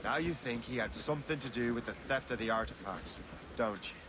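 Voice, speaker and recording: An actor playing a bold, self-assured young ship captain in a video game cutscene, giving short, firm replies. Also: male voice, medium pitch, brisk, lightly acted. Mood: resolute. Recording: game audio with loud sea noise.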